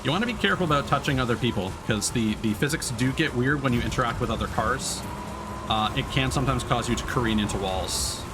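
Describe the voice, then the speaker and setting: A man gives relaxed live commentary as he plays a video game. His voice sits at an ordinary male pitch, and he explains things informally with the odd mild swear. Game music plays clearly behind him. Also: fast. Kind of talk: casual live gaming commentary.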